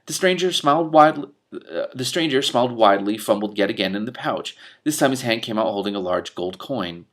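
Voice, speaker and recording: A man with a fairly low voice reads a story aloud for his audience as host. His delivery is expressive, and he drops out of the narration into candid, informal asides, sometimes swearing. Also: a little fast. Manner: breaks off to react candidly, with swearing. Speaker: host reading a novel aloud with commentary. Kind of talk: expressive book reading with casual reactions.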